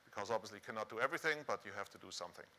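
A man's voice: on the low side, lively intonation, rapid-fire, bright, forceful tone. A middle-aged man with a Swiss accent giving a conference presentation in a conversational manner. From Switzerland. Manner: conversational, rhetorical questions to the audience. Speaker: conference speaker, professor. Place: large dark conference hall stage, indoors.

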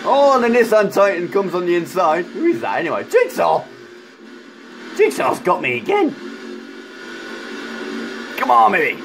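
A man with a croaky voice, chatting excitedly through a racing game as a gaming YouTuber, rambling with fillers. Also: high voice, measured pace, long pauses, slight background noise, low-quality recording. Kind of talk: casual racing game commentary.

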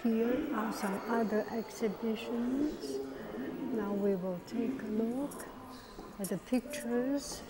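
Slow, hushed woman touring a museum. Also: a little high, low-quality recording. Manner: critical, hesitant, whispering at times. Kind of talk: casual spoken commentary. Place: indoor art museum gallery. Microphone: earphone mic, phone camera held at arm's length.

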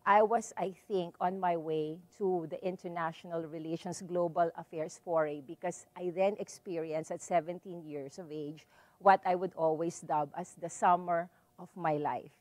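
Measured Filipino woman, low and dry. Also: fairly even intonation, no room sound. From Philippines. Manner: measured, storytelling, frequent "so" and "um". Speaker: visiting lecturer. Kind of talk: public lecture. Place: library hall with a seated audience.

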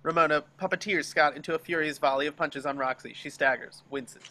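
A man's voice: a little high, fairly even intonation, fairly quick, sharp tone. A man reading stage directions as scripted narration for a dramatic table read over a video call.

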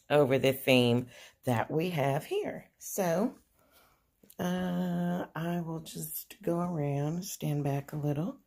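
Woman, home decor vlogger; low, soft-spoken, unhurried, filmed on a phone at her table. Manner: soft-spoken, unhurried, frequent ums and uhs. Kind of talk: casual show-and-tell narration. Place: indoors at a dining table.

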